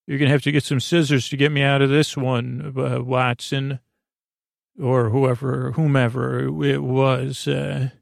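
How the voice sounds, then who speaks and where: A man with a gravelly, fry-laden voice hosts a bedtime podcast, recorded close and dry. He wanders from tangent to tangent in a silly, goofy way, often stopping and restarting his sentences. His pitch sits in an ordinary male middle range. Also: little pitch movement, measured pace, no room sound. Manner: meandering, tangent-prone, hesitant delivery with trailing restarts. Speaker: podcast host. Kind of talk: rambling bedtime story podcast monologue.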